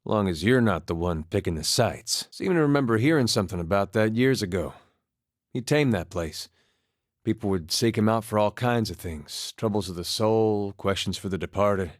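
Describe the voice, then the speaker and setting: Low-voiced, folksy farmer played by an actor. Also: male voice, lively intonation, moderate pace, no echo, lightly acted. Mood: wry, resigned. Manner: folksy, laconic, dry-humored drawl with dropped words. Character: easygoing wry farmer and war veteran, folksy and haunted. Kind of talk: video game character dialogue, conversational storytelling.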